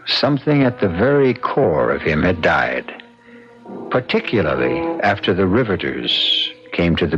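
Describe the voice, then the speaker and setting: A man acting as the solemn host and storyteller of a radio mystery, narrating in a portentous, deliberate way. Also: deep voice, heavily acted. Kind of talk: dramatic scripted radio narration. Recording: dull old radio recording. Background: music.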